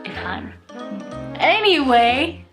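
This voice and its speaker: A woman's voice: high voice, sing-song, takes it slowly, music in the background. A woman from Romania hosting a video channel, chatting casually and teasingly with her partner. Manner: playful, teasing.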